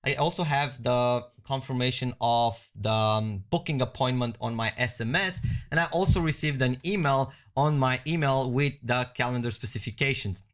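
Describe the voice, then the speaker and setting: A man giving a conversational software walkthrough as a product demonstrator. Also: mid-range voice, moderate pace, few pauses.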